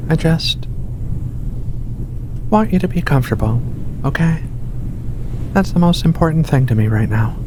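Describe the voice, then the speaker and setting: A performer voicing a gentle giant furry alien researcher in a soft, hushed, soothing ASMR roleplay. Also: close to an everyday voice. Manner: soothing, unhurried, reassuring, coaxing. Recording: steady rumbling ship ambience behind voice. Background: low engine rumble of a spaceship.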